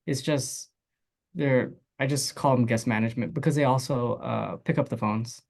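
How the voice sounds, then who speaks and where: A man's voice: mid-range voice, moderate pace, creaky, a little mellow. A man speaking in an offhand, hesitant way on a casual panel.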